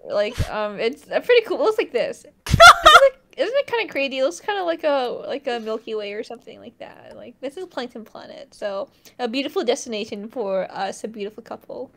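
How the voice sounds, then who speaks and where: A woman improvising a giddy, besotted girlfriend vlogger for a comedic dub, rambling haltingly and giggling. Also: a little high, big pitch swings, creaky, pushed and bright, exaggerated voice. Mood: dreamy. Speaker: fandub performer. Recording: noisy home mic with clicks.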